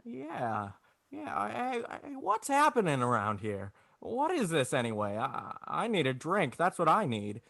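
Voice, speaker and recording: A man's voice: on the high side, wildly swooping pitch, measured pace, gravelly, a bit bright, no room sound, studio quality, heavily acted. A man playing a despairing, wry, bewildered family man with a stammering, folksy manner, in 1940s radio drama style. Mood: bewildered, agitated. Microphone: video call.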